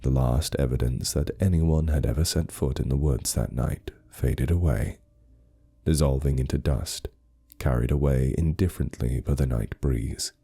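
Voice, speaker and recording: A man's voice: extremely low pitch, moderate pace, gravelly, dark tone, husky. A man narrating a scripted horror story in a grave, suspenseful manner.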